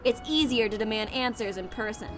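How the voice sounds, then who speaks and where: Confident, assertive young woman, acted, high voice, game dialogue over clear background music. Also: brisk, close to an everyday voice. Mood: assured. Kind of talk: anime-style visual novel voice acting.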